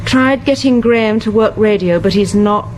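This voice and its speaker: A woman's voice: medium pitch, moderate pace, a little mellow, a little hiss or hum, lightly acted, low-quality recording. Actress as a thoughtful woman scientist. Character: British woman marine biologist reading a diary aloud. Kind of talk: radio thriller acting.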